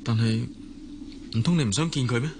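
A man's voice: mid-range voice, brisk, noisy background, close to an everyday voice. An actor playing a well-meaning, apologetic young man in a radio drama, earnest and persuasive, with a Hong Kong Cantonese accent.

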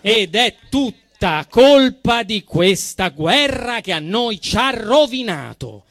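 A man's voice: sits high, sing-song, a bit bright, no echo, a faint crowd. Man, Roman accent, comic theatre monologue, swooping intonation, emphatic mock drama, close dry sound. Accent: Roman Italian. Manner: mock-dramatic, emphatic, with drawn-out phrases and sudden rushes.

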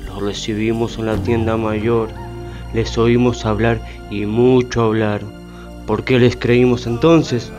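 Radio play witness: man playing grave native elder-narrator, flat measured delivery, muffled, faint music. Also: mid-range voice, lightly acted. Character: solemn, wronged Delaware native man remembering the settlers. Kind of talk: radio theatre monologue.

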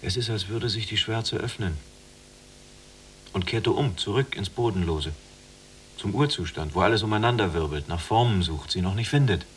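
A man's voice: lowish voice, normal speed, slight background noise, natural voice. A man acting in a literary radio drama as a caring, dreamy younger prisoner with earnest, lyrical phrasing. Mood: dreamy, entranced.

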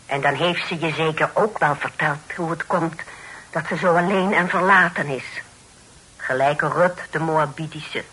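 An actress with a Netherlands Dutch accent playing a stern, pious older aunt who declaims sanctimoniously in a radio drama. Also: female voice, sits low, normal speed, bright, forceful tone, slightly rough, exaggerated voice, low-quality recording. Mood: stern, probing. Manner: sanctimonious, declaiming in biblical phrases.

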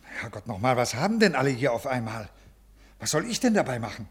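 Uneasy bureaucrat, brusque, halting, medium-pitched, raspy, 1950s radio drama. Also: male voice, sing-song. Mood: irritated, defensive. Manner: officious, halting, irritable with subordinates. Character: brusque, uneasy male senior bureaucrat, troubled by conscience. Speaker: actor in a radio play. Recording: old 1950s radio recording.

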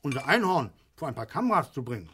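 An actor playing an excitable, scheming middle-aged father in a German satirical radio play, theatrical, rambling and insistent. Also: mid-range voice, quick pace, slight background noise. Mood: sarcastic ranting. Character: excitable, scheming, stubborn father arguing over a unicorn.

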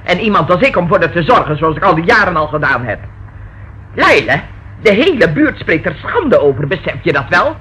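An actress in a Dutch radio play playing a domineering, indignant middle-aged aunt who scolds in a haughty way. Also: female voice, fairly low pitch, sing-song, a little fast. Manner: bossy, sharp, haughty. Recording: old radio recording with faint noise.

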